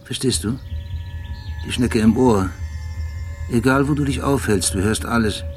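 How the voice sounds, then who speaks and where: An actor playing an obsessive, brooding police detective who narrates a radio play in a deliberate, precise, philosophical manner. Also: male voice, mid-range voice, darkish tone, natural voice. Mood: low-key, insistent. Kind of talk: radio play acting and literary monologue. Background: music.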